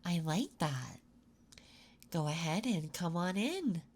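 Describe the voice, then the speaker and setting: A woman playing a curious, attentive interviewer in an unhurried, intimate, soft-spoken ASMR roleplay. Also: on the low side, very animated, a little hiss or hum, full character voice. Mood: softly intrigued. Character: curious, attentive female interviewer in a late-night office. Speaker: ASMR performer.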